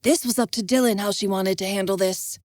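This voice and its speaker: A woman's voice: mid-range voice, fairly even intonation, brisk, darkish tone, dry recording, clean studio sound, natural voice. Hot-tempered brother who snaps at others, as a woman reads him. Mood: raging. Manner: snapping, raging. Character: hot-tempered young man, protective brother.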